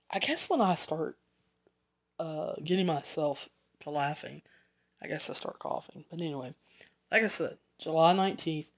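Slow-talking Southern podcast host. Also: medium pitch, pushed and bright. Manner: rambling, chatty asides, self-corrections. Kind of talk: casual solo podcast rundown.

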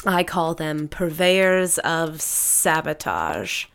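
Woman acting slow, low-voiced, bitter bedridden housewife. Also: big pitch swings, vocal fry, fairly bright tone, clean tone, heavily acted. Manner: slow, drawling, sardonic. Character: bitter, forgetful bedridden housewife on sedatives, sardonic and imperious. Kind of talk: dramatic audio drama acting.

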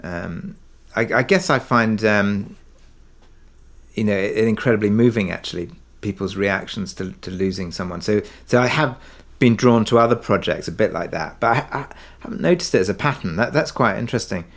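Hesitant, lowish-voiced man reflecting in interview. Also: moderate pace. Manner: hesitant, tentative, searching for words. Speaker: interviewed writer of an audio drama.

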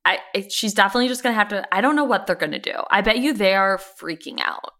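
A woman with a bright, forward voice and swooping intonation chats as a podcast co-host. She tells pop-culture stories in a casual, animated style. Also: medium pitch, fast. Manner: animated, chatty storytelling.

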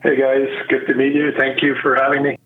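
A man's voice: medium pitch, completely flat delivery, fairly quick. Man on a phone-like line. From Montreal, Canada. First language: French. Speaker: guest expert, retired police officer. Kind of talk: conversational interview answers.

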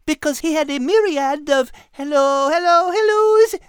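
A woman's voice: high-pitched, a little slow, no room sound, clean studio sound. A woman voicing a refined, learned, cheerful animal character with a French accent and a prim, polite manner in playful podcast banter.

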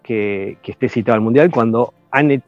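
Male podcast guest, analytical and measured, dull lo-fi dry sound. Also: mid-range voice, no echo, faint music behind. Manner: analytical, measured, many hesitations. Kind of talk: casual chat.

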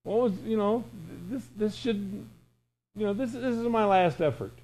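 A man's voice: high-pitched, normal speed, darkish tone, poor audio. A man in his sixties preaching an informal, conversational sermon in a folksy way, with emphatic repetition and imagined dialogue. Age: 60s.

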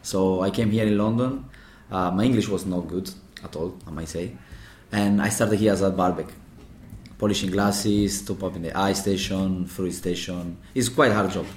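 A young Italian man with a very low voice tells his story in halting, broken English and restarts often. The interview was recorded in a room with a noticeable echo. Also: steady tone, a little hiss or hum. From Italy. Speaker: bartender.

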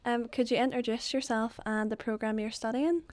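A woman's voice: on the high side, fairly even intonation, fairly quick, a little mellow, no echo. A woman interviewer asking brief, polite questions.

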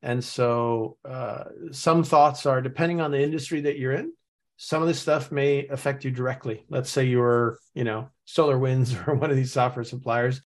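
A man's voice: mid-range voice, fairly even intonation, normal speed. Male podcast host, mid-range voice, casual and musing, reads notes aloud. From Norway. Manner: thinks aloud, reading and musing with many restarts. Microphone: remote call setup.

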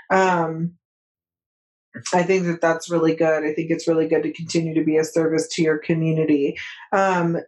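A woman's voice: on the low side, darkish tone, low-quality recording. A woman hosting a podcast interview, effusive and thankful toward her guest. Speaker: podcast host.

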